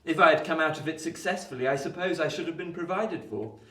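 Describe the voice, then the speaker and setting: A man playing a genial, well-bred young gentleman, polite and slightly flustered, in a Victorian radio play. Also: a little high, fast. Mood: chatty. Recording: echoey room.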